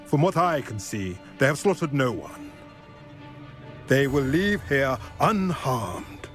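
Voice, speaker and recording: A man's voice: mid-range voice, sing-song, takes it slowly. A man voicing a stern, wise old centaur in a video game, speaking in a grave, commanding, formal way. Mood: firm authority. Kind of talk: dramatic video game voice acting. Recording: loud music behind the voice. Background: music.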